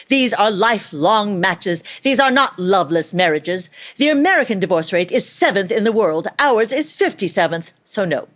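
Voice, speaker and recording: A woman acting in a comic audio play as an officious, blunt state matchmaker with a deadpan bureaucratic manner. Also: mid-range voice.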